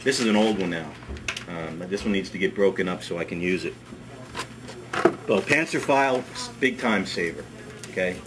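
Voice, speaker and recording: A middle-aged man with a fairly low voice walks a small group through a hands-on demonstration. He talks in a relaxed, chatty way and often repeats words as he works. The camera mic picks up his voice in a wood-paneled workshop, with a steady hiss of noise underneath. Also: normal speed, long pauses. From United States. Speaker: ski school director. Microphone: camera mic on a workbench.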